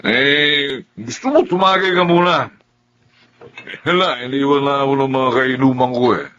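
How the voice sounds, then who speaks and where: A man acting a drunk, belligerent, raspy neighborhood man who slurs and taunts in a Filipino radio drama. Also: medium pitch, moderate pace, pushed and bright, close to an everyday voice. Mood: drunken, belligerent. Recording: dull, muffled audio.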